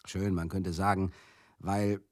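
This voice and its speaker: A man's voice: deep voice, little pitch movement, slow pace, no echo, clean studio sound. An actor playing a baffled, outspoken man in a conversational radio drama monologue, emphatic and exclamatory, then confiding. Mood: exasperated. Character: baffled, outspoken man musing on a warring couple.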